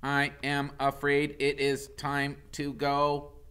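A man voicing a gentle, protective mother robot in a storybook, speaking in a measured, formal way. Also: medium pitch, completely flat delivery, smooth, voice pushed up. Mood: tender. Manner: measured, formal, uncontracted speech.

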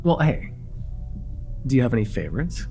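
A young man playing an excitable vampire in an immersive roleplay, casual and halting, insistent and teasing as he repeats himself. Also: mid-range voice, vocal fry, soft background music. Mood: teasing, playful. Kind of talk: immersive ASMR roleplay acting.

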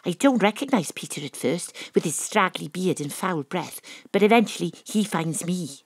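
A woman's voice: fairly low pitch, animated, normal speed, husky, dry recording. A woman playing a plucky, self-important Welsh detective who narrates her case in an audio drama, with dry, arch delivery. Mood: arch, resolute.

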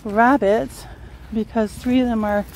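A woman's voice: a little high, steady tone, unhurried, a little mellow, poor audio. A woman talking casually and off the cuff, as a vlogger filming an animal rescue on a phone. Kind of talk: casual unscripted vlog narration. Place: outdoors on grass, later indoors and in a car. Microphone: handheld phone.

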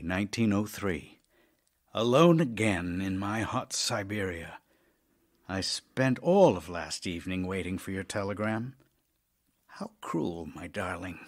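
A man's voice: lowish voice, expressive, unhurried. An actor playing an ailing middle-aged playwright husband in an audio drama, tender, intimate and wistful as he voices love letters. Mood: lonely, discouraged.

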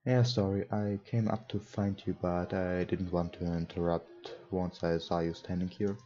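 A man's voice: sits low, little pitch movement, normal speed, warm tone, a little hiss or hum. A young man, not a native English speaker, reading a visual novel aloud with casual, hesitant comments as a gaming host. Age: young adult. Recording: dull lo-fi mic, music behind. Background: game music, mouse clicks.

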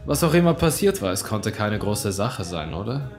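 A man's voice: lowish voice, sing-song, moderate pace. Male horror narrator over music. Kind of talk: dramatic scripted creepypasta narration. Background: background music.

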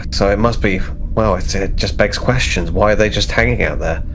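Man playing earnest starship crewman, low voice. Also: normal speed, noisy background, lightly acted. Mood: anxious, alert.

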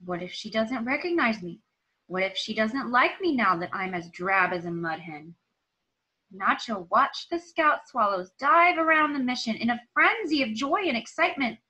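Bright, high-voiced woman reading aloud. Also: expressive, normal speed, rough recording. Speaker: teacher reading aloud to pupils. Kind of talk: expressive children's storybook read-aloud. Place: small room at home.

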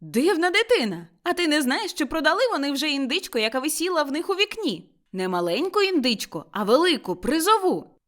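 A woman playing an exclamatory, giddy old Scrooge, high-pitched. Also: fairly quick. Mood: eager, delighted. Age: older. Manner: exclamatory, excitable, repeating himself. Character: elderly miser Scrooge, giddily overjoyed and reborn, man.

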